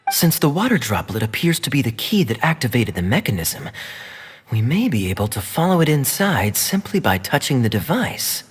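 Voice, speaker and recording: A man voicing an articulate young male scholar in a game dub, explaining things in a measured, analytical way. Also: medium pitch, big pitch swings, husky. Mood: thoughtful. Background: game music.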